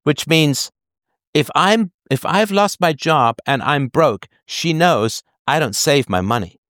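A man's voice: a little high, expressive, takes it slowly, a bit bright, no echo. Man, advice host, conversational, emphatic explainer, frequent check-ins, very dry close recording. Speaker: call-in show host giving advice.

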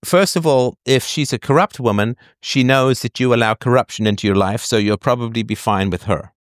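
A man's voice: medium pitch, fairly quick, no room sound, clean studio sound. A man in the role of an advice host, talking conversationally and explaining emphatically with analogies, often checking that the listener follows. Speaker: call-in show host giving advice.

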